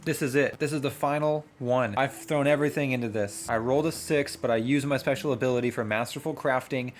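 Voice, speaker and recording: A man playing a tabletop game, reading narration aloud and thinking out loud in excitable, slangy asides. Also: medium pitch, fairly quick, a little hiss or hum. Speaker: player and host of a gaming channel. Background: music.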